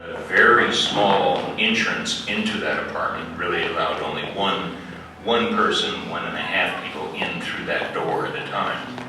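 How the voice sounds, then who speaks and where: A man giving a halting, deliberate public briefing as an official presenting case facts. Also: low voice. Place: community center meeting room, far from camera mic. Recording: echoey hall, distant mic, background noise.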